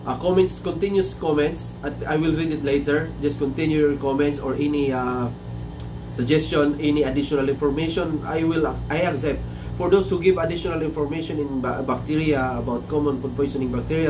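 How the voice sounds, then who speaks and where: A man's voice: on the high side, steady tone, brisk, far from the mic. A man in his thirties with a Filipino English accent, a chef hosting a casual livestream, chatty and repeating himself, slipping into Filipino now and then. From Philippines. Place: small room indoors. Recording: noisy room with air conditioner hum. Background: air conditioning hum.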